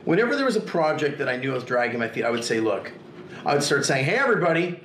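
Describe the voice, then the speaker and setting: A man in his late forties talks in a slightly high voice, swearing freely and slipping into quoted voices as he tells his story. He sits on a couch in a living room. The sound carries a noticeable room echo and a faint hiss. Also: very fast. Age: 40s. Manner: profane, animated, acts out quoted voices. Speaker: interview guest, artist. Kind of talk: casual storytelling.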